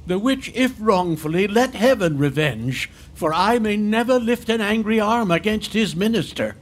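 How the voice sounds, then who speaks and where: Solemn old nobleman, high-pitched voice. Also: animated. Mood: solemn, resigned. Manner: solemn, measured formal verse. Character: old nobleman, pious, resigned, loyal to the crown. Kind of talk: Shakespearean verse radio drama.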